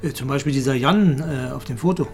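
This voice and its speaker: A man's voice: mid-range voice, fairly quick, a little mellow, lightly acted. An actor playing an obliging male police sidekick in a screen drama. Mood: pointed. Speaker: police detective's assistant. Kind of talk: dramatic film dialogue.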